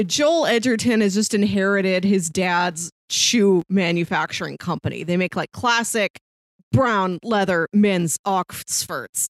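A woman's voice: mid-range voice, unhurried, no room sound. A woman in her thirties co-hosting a podcast, chatting casually in a playful, wry way. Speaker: podcast co-host.

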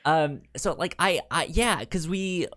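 A man co-hosting a movie podcast in casual chat, halting and often repeating words and sounds as he goes. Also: on the high side, a bit bright, dry recording, studio quality.

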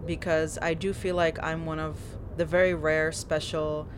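A woman's voice: flat delivery, normal speed. Frank woman, outdoor traffic noise. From New York (Brooklyn). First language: Algerian Arabic. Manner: candid, laughing, frank. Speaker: guest, dancer and teaching artist. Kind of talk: casual interview. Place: outdoors inside a plastic dome near a park. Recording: noisy outdoor recording, traffic rumble.

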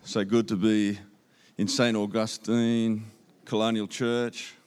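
A man's voice: on the low side, flat delivery, slow, a little mellow, faint music behind. A man with an Australian accent giving a church sermon as a guest speaker, upbeat and conversational, repeating key phrases for emphasis. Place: church auditorium. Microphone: handheld microphone.

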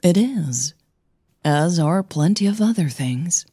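Woman voicing low, husky, composed female coach. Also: animated, no room sound, studio quality, heavily acted. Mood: dry, teasing. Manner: slow, reassuring, gently teasing. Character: Christine, composed, caring, probing female singing coach.

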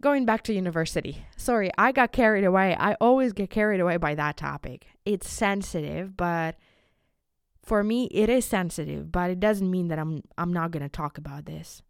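A young woman from Ukraine, a native Ukrainian speaker with a Ukrainian accent, talks earnestly and a bit haltingly in an informal solo podcast, repeating words for emphasis. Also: on the low side, smooth, no room sound, studio quality. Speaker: podcast host.

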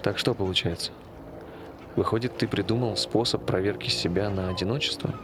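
An actor playing a dry, sardonic friend who provokes and teases in laconic, casual stage dialogue. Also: male voice, fairly low pitch, fairly even intonation, brisk, natural voice. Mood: curious, provoking. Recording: clear ambient background noise.

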